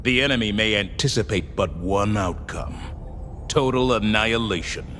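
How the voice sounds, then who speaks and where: An actor playing a solemn, zealous military officer from a sci-fi game, making grandiose, stately pronouncements. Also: male voice, on the low side, moderate pace, fairly bright tone, a little hiss or hum, natural voice. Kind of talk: scripted dramatic game voicelines, oratory. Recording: long hall reverb, music behind. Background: music.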